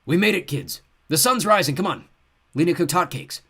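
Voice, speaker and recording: A man's voice: a little high, lively intonation, moderate pace, sharp tone, breathy edge, pitched above the performer's own voice, heavily acted. A man voicing a monster that pretends to be a kindly grandfather, coaxing children to open the door in an audiobook. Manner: coaxing, overly sweet.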